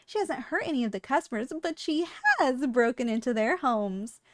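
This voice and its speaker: A high, clearly feminine voice in a very dry recording, a woman acting as a businesslike shop clerk in an ASMR roleplay. She gives formal, courteous sales patter to the customer. Her delivery leans on dry understatement, keeping everything professional. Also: expressive, normal speed, dry recording, close to an everyday voice. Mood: matter-of-fact. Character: polite, businesslike female shop clerk selling dangerous yandere girls. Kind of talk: scripted ASMR roleplay addressed to the listener.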